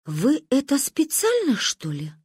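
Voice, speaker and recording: A woman's voice: sits high, expressive, brisk, darkish tone, husky, dry recording, studio quality, pitched above the performer's own voice. A woman voicing a flustered, exasperated mother in a story, anxious and scolding with heavy emphasis. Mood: cross.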